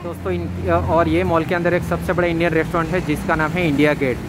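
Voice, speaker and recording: A man's voice: a little high, flat delivery, fast talker. A man from India giving a casual walking-tour commentary outdoors on city streets, chatty and often checking in with "right?". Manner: chatty, keeps asking "right?". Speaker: travel vlogger. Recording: street traffic noise behind.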